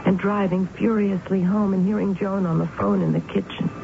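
An actress playing a brooding, obsessive middle-aged woman, narrating a radio drama in an intense, deliberate way. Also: mid-range voice, steady tone, vocal fry, very noisy, close to an everyday voice. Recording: muffled vintage radio broadcast with music.

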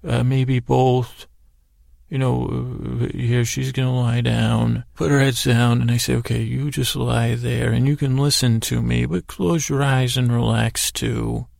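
A man with a creaky, gravelly voice hosting a bedtime podcast, telling a meandering monologue with halting, stammered, repeated words. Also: mid-range voice, little pitch movement, a little mellow, dry recording, clean studio sound. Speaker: podcast host. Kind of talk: rambling bedtime sleep-story monologue.

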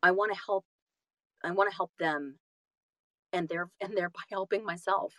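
A woman's voice: on the low side, steady tone, normal speed, fairly bright tone, no echo. A middle-aged woman chatting casually on a podcast, self-deprecating, often restarting and repeating her phrases. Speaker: audiobook narrator and guest.

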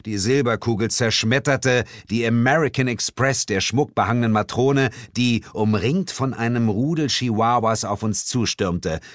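A man narrating an audiobook in first person, measured and theatrical, dropping lower for dramatic moments. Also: dry recording, clean studio sound. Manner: measured, theatrical, drops low for dramatic lines.

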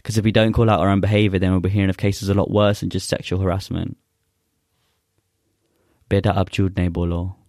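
Deep, flat-voiced young man. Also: no echo, studio quality. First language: Urdu. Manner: earnest, halting with many fillers. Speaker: poet guest. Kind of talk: casual chat and spoken-word poetry recital.

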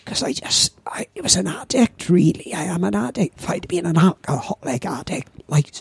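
A man in his seventies with a Welsh accent and a croaky old voice, chatting as a podcast guest and telling lively stories that repeat words for effect. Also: high voice, moderate pace, dry recording. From Wales. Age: 70s. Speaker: guest, veteran surfer. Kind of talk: casual anecdotal chat.

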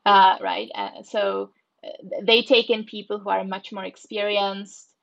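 Woman, flat, muffled sound. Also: medium pitch, measured pace, creaky. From Czech Republic. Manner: frequent fillers, thoughtful. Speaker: startup founder guest. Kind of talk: casual interview answers.

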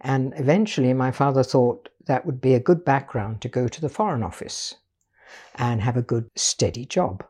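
Older woman telling her life story, mellow and husky. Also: extremely low pitch, animated. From Portugal. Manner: measured, storytelling, patient explaining. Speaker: guest artist. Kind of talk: reflective life-story interview.

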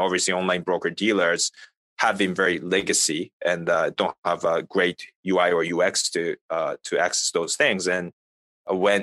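A man from Japan with a Japanese accent, a native Japanese speaker, answering as an interview guest in a halting, conversational way with repeated filler phrases. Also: sits low, fairly bright tone, dry recording. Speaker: interview guest, fintech business representative.

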